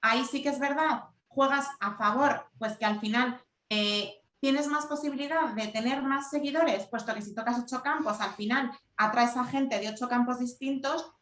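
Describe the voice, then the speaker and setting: Woman explaining, slightly high, echoey room. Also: a bit bright. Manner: measured, explanatory, repeats points for emphasis. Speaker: corporate communications lead. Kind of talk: webinar panel answer.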